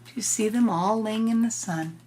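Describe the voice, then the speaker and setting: An older woman, a grandmother, reading a picture book aloud to a child in a gentle, explanatory way with informal asides. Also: medium pitch, moderate pace.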